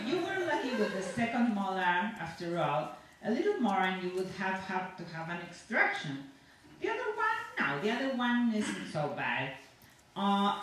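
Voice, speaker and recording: A woman reading a story aloud as a chatty, self-satisfied, sinister dentist who rambles on with cheerful reassurance to a patient. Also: mid-range voice. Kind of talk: dramatic monologue read aloud to an audience. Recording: echoey room from far off, faint noise.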